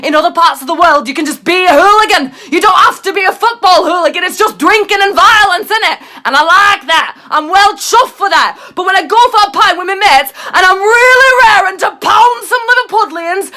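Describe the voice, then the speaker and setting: A woman playing a rowdy, aggrieved young hooligan in a comic monologue, ranting indignantly at a shout in a Mancunian accent. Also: very high voice, brisk, few pauses. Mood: loud indignation.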